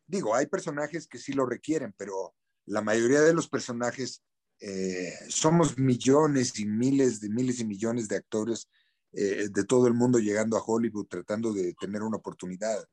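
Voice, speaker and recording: A man's voice: on the low side, normal speed, a little mellow. Candid Mexican man with slangy speech. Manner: candid, slangy, repeats words while searching. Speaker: actor, interview guest. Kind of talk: casual interview.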